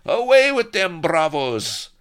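Bullying spanish corporal from a comic audiobook, broken english, loud and pitched way up. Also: male voice, big pitch swings, takes it slowly, heavily acted. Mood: menacing. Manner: broken English, roaring and scornful. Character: truculent sneering Spanish corporal, a bullying brute.

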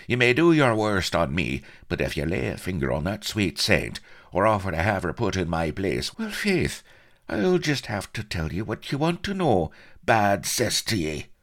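A man's voice: deep voice, huge pitch swings, normal speed, a bit bright, voice pushed up, full character voice. A man voicing a sly, smug Irish rogue colonel who talks in a self-justifying, wheedling way in a comic audiobook. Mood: defensive.

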